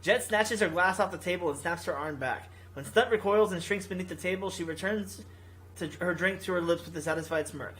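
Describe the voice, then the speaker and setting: A man's voice: high voice, brisk, a bit bright. A man reading a visual novel aloud as a gaming video host, stumbling now and then and rereading his lines.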